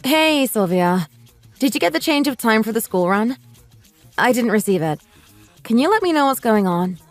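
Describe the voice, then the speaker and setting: A woman's voice: a little high, very animated, normal speed, dry recording, soft background music. A woman playing a sensible, put-upon mother in her late thirties in a radio drama, polite but firm. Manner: polite, reasonable, mildly exasperated.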